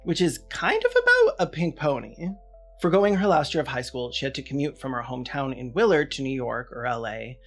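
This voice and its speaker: A man's voice: mid-range voice, sing-song, a little fast, fairly bright tone, faint music behind. A man hosting a scripted video essay, delivering commentary with sarcastic, wry asides.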